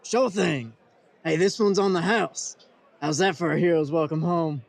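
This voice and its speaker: Male actor as folksy 1940s radio bartender, gravelly creak, high pitch, hearty and friendly. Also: unhurried.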